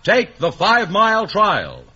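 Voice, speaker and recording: A man's voice: high-pitched, huge pitch swings, a little slow, sharp tone, breathy edge. An American man working as announcer and sidekick on a 1950s radio quiz show. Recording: muffled old radio broadcast.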